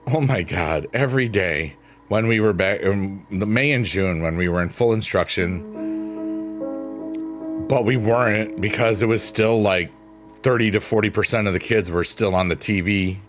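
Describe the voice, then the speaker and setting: A man with a booming voice on a late-night stream, chatting in a rambling, thankful way and answering his chat. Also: moderate pace. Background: soft piano music.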